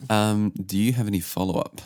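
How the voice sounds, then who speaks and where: A man hosting a casual music podcast who is sick with the flu, which has lowered his voice. He talks in a laid-back, rambling way with hesitations. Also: fairly even intonation, fairly quick, a little mellow, dry recording, studio quality. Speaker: podcast host.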